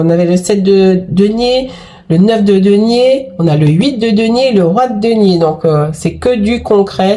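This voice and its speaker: Woman w a cold doing a tarot reading, gushy and chatty, lots of fillers, soft warm voice. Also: mid-range voice. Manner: chatty and effusive, frequent exclamations and fillers. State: has a cold. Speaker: tarot and oracle card reader. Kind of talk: casual spoken card reading to viewers.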